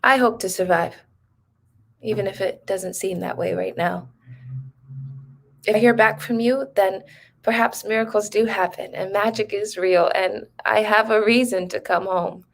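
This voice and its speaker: An actress playing a troubled grown woman who reads her farewell letter slowly and somberly. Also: medium pitch, steady tone, gravelly. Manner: slow, somber letter reading.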